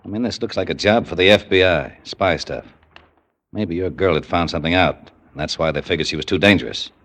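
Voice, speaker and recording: An actor playing a tough, gruff American police detective with blunt, slangy delivery in 1940s radio style. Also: male voice, sits low, brisk, a bit bright. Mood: businesslike. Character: tough, gruff male police detective sergeant, hard-boiled. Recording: vintage radio drama with faint music.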